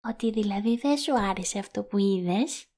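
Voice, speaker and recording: A woman's voice: medium pitch, dark tone, a little hiss or hum, lightly acted. A woman playing a cheeky, teasing girl who loves her games, in an amateur audio drama.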